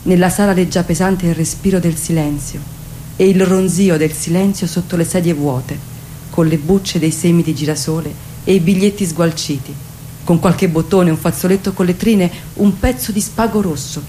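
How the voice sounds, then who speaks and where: A woman performing a literary monologue as a weary, sleepless woman haunted by fear and memory, in a sombre, deliberate way. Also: fairly low pitch, warm tone, slight background noise, lightly acted. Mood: brooding. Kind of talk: literary monologue reading for radio.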